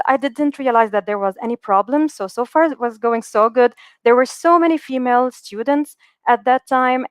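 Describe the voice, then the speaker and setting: A woman's voice: mid-range voice, smooth, dry recording, studio quality. A woman in her thirties, raised in the UAE, giving an earnest motivational webinar talk with many fillers and restarts. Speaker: speaker.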